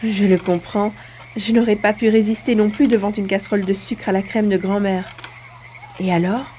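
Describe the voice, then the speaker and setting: A woman's voice: mid-range voice, flat delivery, normal speed, dark tone, a little hiss or hum, lightly acted. A woman playing an earnest, curious little boy in a scripted audio storybook. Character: curious, eager little boy, a grandchild at bedtime.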